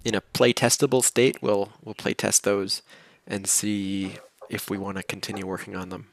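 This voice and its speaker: Man, low, gravelly, casual developer chat, relaxed, explanatory, dry close video-call sound. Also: normal speed. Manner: relaxed, explains at length, chuckles between points. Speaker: game developer answering questions.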